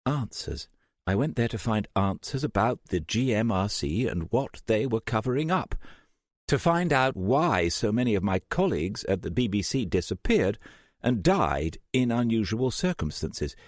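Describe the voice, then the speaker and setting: A man's voice: lowish voice, very animated, normal speed, dry recording, studio quality, voice pushed up. A man voicing a frightened young woman journalist, dazed and struggling to remember. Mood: confused, searching.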